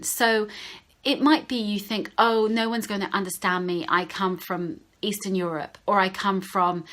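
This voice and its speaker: A woman with a high-pitched voice giving advice straight to the camera as a speaking coach, talking slowly and stressing key words. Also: a bit bright. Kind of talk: direct-to-camera advice talk.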